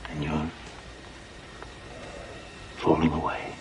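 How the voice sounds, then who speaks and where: An actor playing a hypnotist, speaking in a slow, soothing, commanding way, over music. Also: male voice, extremely low pitch, monotone.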